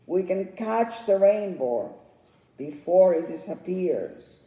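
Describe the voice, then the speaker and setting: A woman's voice: on the low side, animated, slow pace, mellow. A woman voicing a majestic, commanding magical male ruler in a children's storybook, with a regal manner. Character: majestic golden-haired male Great One, commanding, magical. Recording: roomy echo, distant microphone.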